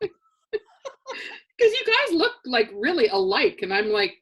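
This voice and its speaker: A middle-aged woman with a low voice, co-hosting a casual chat and telling stories with dry humor and frequent laughter. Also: wildly swooping pitch, a little slow, a bit bright, breathy edge. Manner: chatty storyteller, dry humor, laughs often. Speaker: co-host. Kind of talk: casual chat on a live show.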